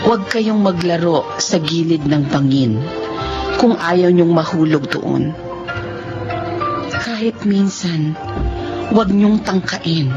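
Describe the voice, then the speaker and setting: A sorry jailed wife telling her story, slow and solemn radio drama, muffled with music under it. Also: fairly low pitch. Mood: solemn regret. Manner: solemn, measured, remorseful. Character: regretful imprisoned wife narrating her own downfall. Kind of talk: confessional radio drama narration. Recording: dull recording with loud music.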